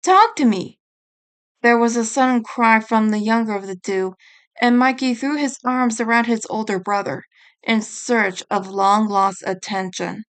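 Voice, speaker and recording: A woman's voice: a little high, fairly even intonation, normal speed, clear, resonant tone. A woman reading a piece of fan fiction aloud as scripted story narration.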